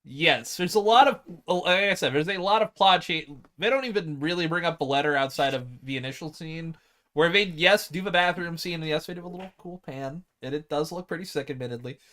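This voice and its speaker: Higher-voiced man explaining films casually. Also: fairly quick, bright, forceful tone. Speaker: podcast co-host reviewing films. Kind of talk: casual group film discussion.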